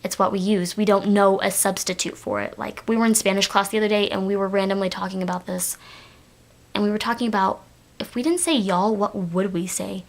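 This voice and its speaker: A woman's voice: medium pitch, brisk. A teenage girl from Texas with a light Texan accent, chatting to camera in a rambling vlog with lots of fillers and restarts. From Texas, United States. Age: teens. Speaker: vlogger. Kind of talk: casual talk to camera.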